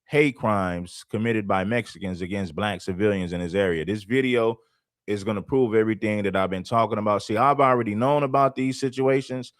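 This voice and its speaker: A blunt, argumentative man hosting a livestream. His voice sits low, and the sound is close and dry. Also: brisk, no room sound. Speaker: host. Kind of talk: livestream commentary.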